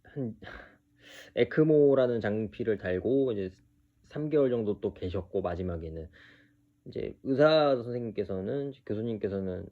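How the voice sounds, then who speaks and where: A man in his early thirties giving an unscripted personal monologue, speaking haltingly with hesitant pauses. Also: fairly low pitch, lively intonation, phone-quality sound. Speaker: video creator telling his own story.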